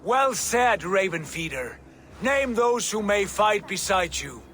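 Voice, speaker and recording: High-voiced, resolute Norse warrior god. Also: male voice, very animated, slow pace, sharp tone, slight background noise, full character voice. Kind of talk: dramatic video game acting.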